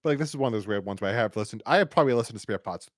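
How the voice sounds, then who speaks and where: Male podcast co-host, casual and enthusiastic reviewer, very lively pitch, rambling delivery, dry recording. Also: rapid-fire, no echo.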